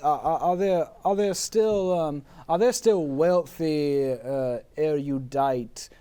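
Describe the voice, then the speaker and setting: A man playing a pompous, bemused dead nineteenth-century writer brought back for a comedy talk show, speaking haltingly in wordy, old-fashioned diction. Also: fairly high pitch, mellow, clean tone. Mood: pompous, curious.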